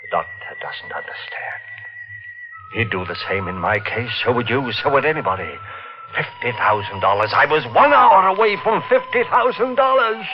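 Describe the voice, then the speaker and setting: A man's voice: mid-range voice, very animated, moderate pace, over music, close to an everyday voice. A man playing a frantic, greedy schemer. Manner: frantic, pleading, rising to shouts. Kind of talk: 1950s radio drama acting. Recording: dull old 1950s radio broadcast.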